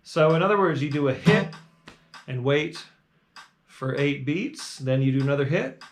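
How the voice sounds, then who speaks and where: A man teaching a live bluegrass jam lesson, calmly explaining the arrangement step by step and counting the band in. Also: on the high side, normal speed. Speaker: guitar teacher leading a jam. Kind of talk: live online music lesson. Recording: loud bluegrass guitar playing along.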